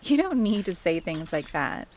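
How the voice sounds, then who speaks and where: A woman's voice: on the low side, sing-song, moderate pace, darkish tone, a little hiss or hum. A woman playing an affectionate young student fiancée in an audio drama, with a conversational delivery. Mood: affectionate, teasing. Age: young adult.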